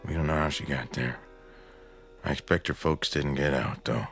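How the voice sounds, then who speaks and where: A grave, take-charge small-town husband with a very deep voice full of creak. He speaks in short, practical phrases, in old-time radio drama style. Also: moderate pace, fairly bright tone, faint music behind, exaggerated voice. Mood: troubled, pondering. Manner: terse, grave, matter-of-fact.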